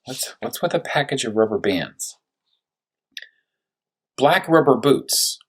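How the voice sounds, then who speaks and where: A man who teaches first grade, talking through a read-aloud story for young children, thinking aloud with questions and slowing to stress key words. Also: medium pitch, animated, slightly rough.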